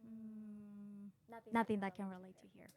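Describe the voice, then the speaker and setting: A woman from Chile telling personal stories casually as a podcast guest, in an animated, candid, self-mocking way. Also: medium pitch, unhurried. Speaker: podcast guest, doctor in training.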